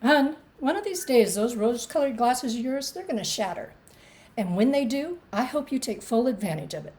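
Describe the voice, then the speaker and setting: A woman's voice: a little high, animated, normal speed, darkish tone, lightly acted. A woman acting a blunt, doting older mother and grandmother at a screenplay table read, folksy and bossy. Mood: wry warning.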